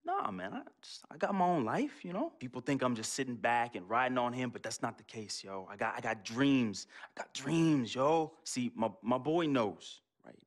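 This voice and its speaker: A man voicing a boastful young hustler in a game cutscene, full of street slang. His pitch swings around animatedly as he talks himself up, with faint music behind. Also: expressive, moderate pace, few pauses, close to an everyday voice. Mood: defensive. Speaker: game character, hustling friend. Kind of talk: video game cutscene acting.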